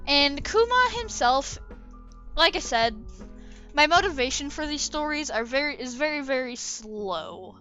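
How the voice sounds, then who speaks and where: High-voiced woman rambling over a speedpaint. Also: lively intonation, unhurried, bright, forceful tone, muffled recording, soft background music. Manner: rambling, hesitant, self-correcting. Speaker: artist commenting on own drawing. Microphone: desk microphone.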